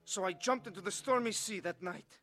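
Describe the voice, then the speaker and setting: A man's voice: high-pitched, fairly quick, bright, forceful tone, soft background music. An actor playing an earnest, haunted young Russian defector who tells his story in a dramatized testimony. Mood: grave, tense. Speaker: first-person narrator of a dramatized testimony. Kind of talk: dramatized scripted narration.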